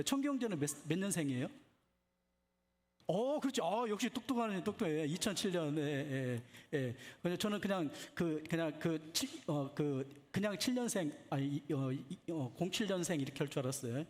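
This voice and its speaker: A man preaching in a conversational style, putting questions to listeners and often repeating short affirmations. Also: on the high side. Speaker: preacher at a church retreat. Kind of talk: conversational sermon with questions to congregation.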